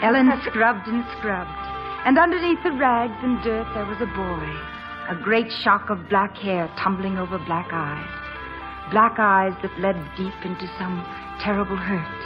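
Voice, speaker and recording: A woman's voice: mid-range voice, a little slow, lightly acted. An actress playing a grown woman who narrates her childhood wistfully in an old radio drama. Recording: muffled old broadcast over music.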